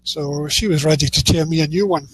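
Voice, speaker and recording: A man's voice: fairly high pitch, a little fast, fairly bright tone, breathy edge, poor audio. A Scottish man from Scotland, a publishing services guest chatting casually and often repeating words as he starts his sentences. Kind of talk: casual interview chat.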